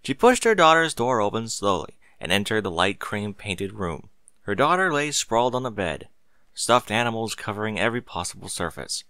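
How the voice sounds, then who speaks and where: Casting: male audiobook narrator, expressive and lively, mid-pitched, dry close recording. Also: normal speed, no echo. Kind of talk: expressive scripted story narration.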